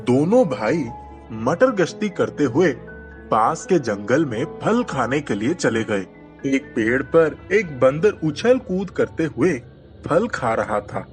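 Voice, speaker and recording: A man's voice: mid-range voice, big pitch swings, takes it slowly. A man narrating a scripted children's story as storyteller. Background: music.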